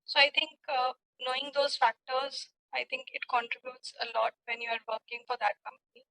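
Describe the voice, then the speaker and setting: Bright, higher-pitched woman answering questions. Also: steady tone, moderate pace, low-quality recording. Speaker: English student practising speaking test. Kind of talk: spoken interview answers.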